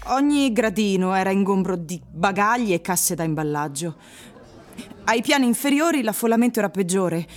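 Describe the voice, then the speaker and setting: A woman narrator reading literary prose for a radio drama in a measured storytelling manner. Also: mid-range voice, expressive.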